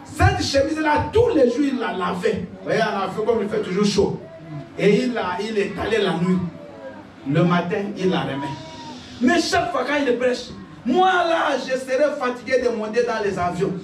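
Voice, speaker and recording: A male pastor, preaching a sermon, shouting his key points and laughing through his stories. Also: high voice, animated, moderate pace. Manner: exhorting, laughing asides, shouted declarations. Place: church. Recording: echoey church hall, picked up from a distance. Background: congregation responding.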